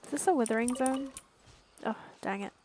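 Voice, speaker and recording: A woman's voice: sits high, moderate pace, darkish tone, a little hiss or hum. A woman giving casual commentary as she plays through a video game. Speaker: game streamer.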